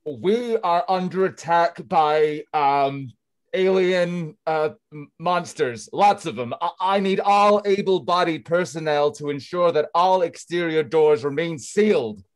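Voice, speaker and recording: A man's voice: a little high, measured pace, close to an everyday voice. A man playing an alarmed colony boss giving emphatic orders in a screenplay table read. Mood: alarmed, halting. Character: Al, gruff male colony boss raising the alarm.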